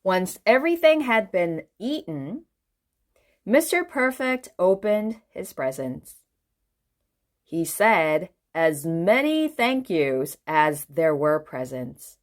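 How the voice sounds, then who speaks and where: Slow, very animated woman storyteller. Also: lowish voice. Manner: expressive, asks the listener questions. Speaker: children's book reader. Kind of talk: animated read-aloud storytelling for children.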